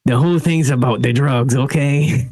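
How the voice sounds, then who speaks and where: A man's voice: mid-range voice, moderate pace, a little mellow, voice pushed up, close to an everyday voice. A man voicing a laid-back male hotel entertainer who brushes questions off with a blunt, dismissive air. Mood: flippant.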